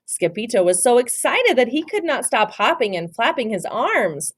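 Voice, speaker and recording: A woman reading a picture book aloud in an expressive storytelling style for children. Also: a little high, normal speed, breathy edge, dry recording. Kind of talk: animated children's read-aloud narration.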